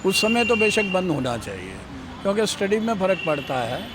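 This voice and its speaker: A man giving a measured opinion to a reporter, explaining with examples. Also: sits high, big pitch swings. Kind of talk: street interview. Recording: clear traffic noise.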